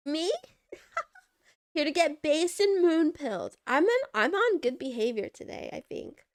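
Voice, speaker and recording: A woman's voice: high-pitched, no room sound, clean studio sound. A young woman streaming and chatting with viewers, playful and giggly with cat puns, her voice stuffy from congestion. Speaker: VTuber streamer. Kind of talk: casual livestream chat reading viewer messages.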